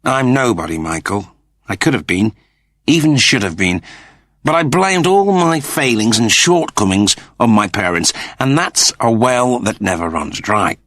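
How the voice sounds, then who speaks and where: A man voicing an awkward, self-doubting middle-aged father in an audiobook, halting and earnest. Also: mid-range voice, expressive, fairly bright tone, no room sound, clean studio sound. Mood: confessing, ashamed. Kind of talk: dramatised audiobook dialogue.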